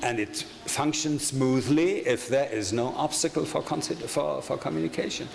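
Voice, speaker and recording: An older man with a German accent, a native German speaker, giving an academic conference lecture at a lectern in a halting way, with many fillers, restarts and wry asides. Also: medium pitch, vocal fry. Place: lecture hall with projection screen. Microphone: desk microphone at a lectern. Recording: echoey hall with faint room noise.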